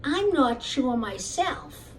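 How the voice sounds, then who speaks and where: A woman's voice: high-pitched, very animated, slow pace. A woman teacher telling a children's puppet story, speaking directly to young listeners in simple phrases. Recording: distant mic with faint background noise.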